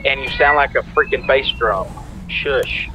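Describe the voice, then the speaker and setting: A man's voice: mid-range voice, a little slow, sharp tone, poor audio. A man speaking in short, terse bits of casual roleplay game chat. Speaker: roleplay player. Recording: faint car and street noise.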